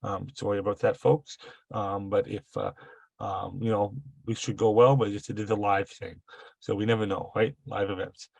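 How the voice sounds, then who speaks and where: Gravelly man with a cold hosting. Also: lowish voice, little pitch movement, no echo, poor audio. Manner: halting, many fillers and restarts. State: has a cold. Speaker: webinar host. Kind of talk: hosting a live online workshop. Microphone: video call.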